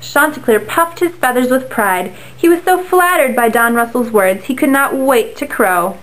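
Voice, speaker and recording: A woman's voice: sits high, animated, normal speed, a bit bright, rough recording. Young woman reading a fable aloud. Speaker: narrator. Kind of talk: read-aloud storybook narration for a class project.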